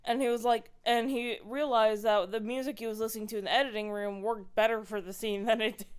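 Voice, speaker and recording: Woman, film podcast co-host, casual conversational read, crisp clean tone on the higher side. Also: steady tone, brisk. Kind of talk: casual chat.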